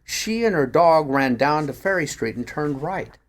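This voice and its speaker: A man's voice: a little high, expressive, moderate pace, voice pushed up, close to an everyday voice. A man voicing an eager, persuasive amateur sleuth in the dialogue of a first-person story reading. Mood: curious.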